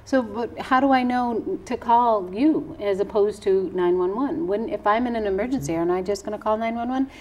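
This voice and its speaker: A woman's voice: mid-range voice, normal speed, hardly any pauses, darkish tone, highs cut off. A woman with an American accent hosting an interview, asking questions in a conversational way. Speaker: interviewer, host.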